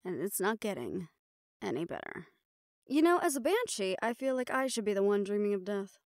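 Woman, mid-pitched, audio roleplay lead; casual, cursing, wry banter, dry close mic. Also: sing-song, normal speed, dry recording.

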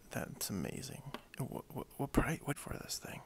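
A man speaking softly in a breathy half-whisper, hushed and friendly, role-playing a toy shop owner for ASMR. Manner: hushed, unhurried, friendly, hesitant phrasing. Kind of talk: soft-spoken ASMR role play. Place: indoors at a wooden desk.

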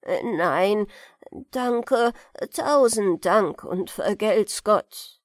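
A woman voicing a humble old beggar in a fairy-tale audiobook, hesitant and deferential, with drawn-out words. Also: mid-range voice, animated, dry recording, clean studio sound. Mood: humbly grateful.

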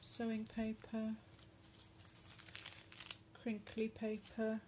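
A woman talks casually while showing a handmade journal. She speaks softly, with many pauses and fillers, and her voice is going hoarse. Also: on the high side, monotone, slight background noise. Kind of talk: casual craft flip-through narration. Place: indoors at a table at home. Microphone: phone camera above the table.

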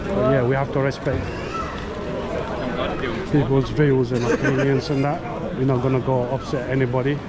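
A man, a travel vlogger, chatting casually to viewers as he walks through a busy city street. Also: mid-range voice. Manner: chatty, talks to viewers while walking. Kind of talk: casual walking commentary. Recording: loud crowd and street noise. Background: crowd chatter and traffic.

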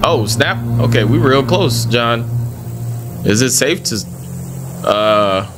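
A man's voice: medium pitch, animated, slow pace, very noisy. A young man commenting casually and jokingly as he plays a video game, talking back to its characters. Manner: joking, slangy, talks to characters. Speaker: gaming streamer. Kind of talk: casual let's-play commentary. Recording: voice over loud game music.

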